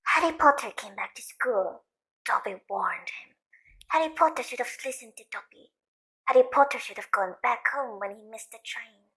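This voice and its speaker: A woman's voice: fairly high pitch, moderate pace, bright, forceful tone, rough recording. A woman playing a small, anxious male house elf in an audio drama, deferential and speaking of himself in the third person. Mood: insistent, earnest. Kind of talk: fan audio drama acting.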